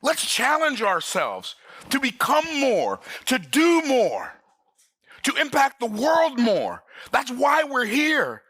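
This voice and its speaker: A man's voice: very high voice, wildly swooping pitch, slow pace, a bit bright, no echo. A man in his sixties teaching the Bible in an emphatic, folksy preaching manner. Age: 60s. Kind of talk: sermon-style Bible study.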